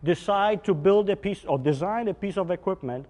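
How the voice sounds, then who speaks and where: A man's voice: high-pitched, moderate pace, darkish tone. A man in his fifties from Poland with a Polish accent, giving a technical workshop lecture, explaining step by step with some fillers and restarts. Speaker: engineering workshop presenter. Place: conference room. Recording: long hall reverb.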